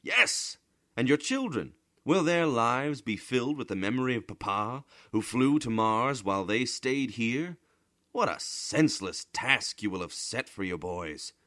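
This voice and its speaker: A man's voice: mid-range voice, big pitch swings, measured pace, sharp tone, dry recording, pitched above the performer's own voice. A stern old man as a male storyteller plays him delivers cynical warnings. He is emphatic and scolding, leaning hard on rhetorical questions, in a dry, close storybook reading.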